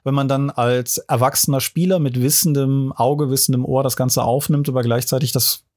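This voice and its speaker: A man's voice: mid-range voice, fairly even intonation, normal speed, darkish tone. A man in his forties speaking fluently and explaining his points at length in a casual panel discussion. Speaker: podcast panelist.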